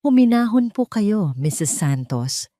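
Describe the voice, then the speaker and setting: Woman as hospital doctor, grave, gentle, measured, bilingual phrasing, audio drama, close dry recording. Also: mid-range voice, no echo, voice pushed up. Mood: gently calming. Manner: calm, measured, mixing English and Tagalog.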